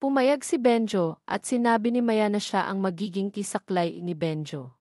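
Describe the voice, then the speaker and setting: A woman with a Filipino accent reading scripted story narration in a formal, measured manner. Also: mid-range voice, a little mellow, no echo, studio quality.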